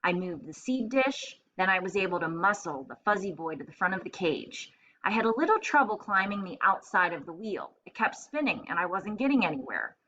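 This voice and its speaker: Woman acting a boastful, excitable little hamster. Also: on the low side, fairly quick, highs cut off, exaggerated voice. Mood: confident, scheming. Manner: self-satisfied, exclamatory, asks and answers himself.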